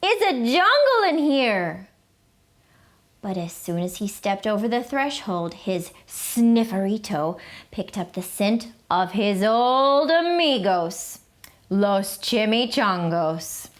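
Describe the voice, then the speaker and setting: A woman reading a children's picture book aloud, slowly and expressively. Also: mid-range voice, animated. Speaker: storyteller.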